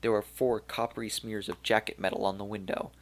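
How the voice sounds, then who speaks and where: A man narrating a science fiction audiobook in a gravelly voice, with even, unhurried storytelling. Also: lowish voice. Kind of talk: scripted narration.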